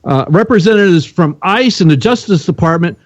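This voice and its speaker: A man with a high voice who stops and repeats his words as he chats on talk radio. His sound is dull and lo-fi, a little muffled. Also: lively intonation, brisk, dry recording. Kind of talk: casual talk radio chat.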